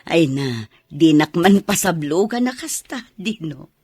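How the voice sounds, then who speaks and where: A woman plays a bashful mother of about fifty in a radio drama. She has a husky voice with lively swings in pitch and an Ilocano accent, recorded dry. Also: unhurried, no echo, heavily acted. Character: widowed mother around fifty, bashful about new romance.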